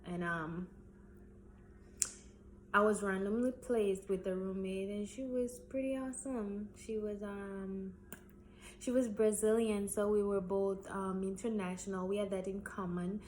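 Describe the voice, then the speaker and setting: A woman's voice: medium pitch, steady tone, measured pace, darkish tone, a little hiss or hum, poor audio. Chatty woman from Jamaica, rambling. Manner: rambling with many ums and repeats. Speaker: vlogger. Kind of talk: casual storytime chat.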